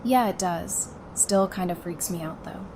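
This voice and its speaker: An actress playing a frank, determined and playful psychiatrist surviving the apocalypse, talking informally in an audio drama. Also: female voice, on the low side, a little slow, mellow. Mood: uneasy, candid. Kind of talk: fan audio drama acting. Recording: clearly noisy, with ambience behind.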